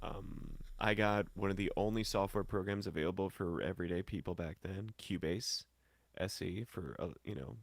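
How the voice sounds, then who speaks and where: An American man from St. Louis telling casual stories as an interview guest, in slangy, animated talk. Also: low voice, moderate pace, vocal fry, no echo. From St. Louis, Missouri, United States. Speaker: podcast guest, singer and musician. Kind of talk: casual conversational storytelling.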